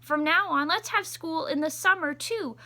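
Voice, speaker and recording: A woman voicing a proud, over-enthusiastic male school principal in a storybook, with grand, drawn-out emphasis. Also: extremely high pitch, pushed and bright, voice pushed up, full character voice. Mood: proudly announcing.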